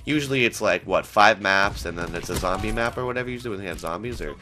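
A man's voice: on the low side, fast, pushed and bright. A young man in his twenties with a cold and a congested voice, rambling and swearing as he hosts casual gameplay commentary into a headset in a small room at home. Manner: rambling, swears often, frustrated outbursts. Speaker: gaming channel host.

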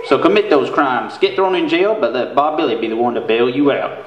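A man playing a folksy, emphatic bail bondsman pitchman in a spoof radio commercial. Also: a little fast, dull audio, lightly acted. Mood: hucksterish. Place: theater stage with stand microphone. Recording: echoey stage hall.

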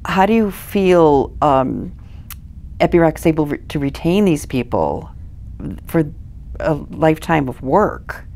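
A woman's voice: low-pitched, sing-song, slow, vocal fry, a little mellow. A woman in her forties asking conversational questions as an interviewer. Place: small indoor office lounge.

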